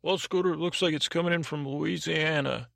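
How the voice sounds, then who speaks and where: A man's voice: on the high side, steady tone, a little fast, creaky, a bit bright, no room sound, studio quality, voice pushed up. A man voicing a polite, dutiful male assistant who announces calls on a podcast.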